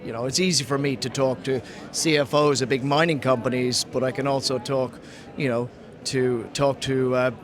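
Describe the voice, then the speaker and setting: A man with an Irish accent, who grew up in Ghana, giving a casual interview and often restarting his sentences as he searches for words. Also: mid-range voice. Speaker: interview guest, sells to mining industry. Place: trade conference floor. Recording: busy conference crowd behind.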